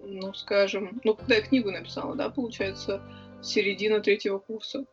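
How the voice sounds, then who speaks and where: A woman's voice: medium pitch, fairly even intonation, highs cut off, soft background music. Chatty young woman, lots of hesitations. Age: young adult. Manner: chatty and quick, with many hesitation sounds. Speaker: interview guest, writer and club organizer. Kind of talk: casual conversational interview.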